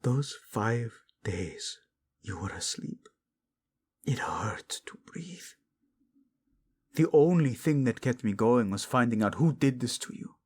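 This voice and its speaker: Protective, lovelorn prince male actor: breathy and husky, very unhurried, intense and tender, romantic audio roleplay. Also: mid-range voice, lively intonation, a little mellow. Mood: guilty, loving. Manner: intense, pleading, tender, often dropping to a whisper. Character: devoted, protective, guilt-ridden prince in love.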